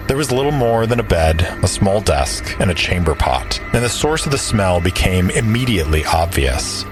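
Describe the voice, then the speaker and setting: A man narrating an audio drama as a storyteller, reading scripted prose. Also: low voice. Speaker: narrator and player.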